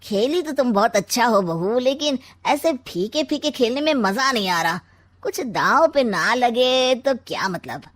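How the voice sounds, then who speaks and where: A woman's voice: fairly high pitch, animated, measured pace. A woman voicing a sly, sarcastic, scheming older uncle in a comic family story, with a theatrical drawl. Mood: gloating. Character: scheming, sarcastic maternal uncle, middle-aged or older man.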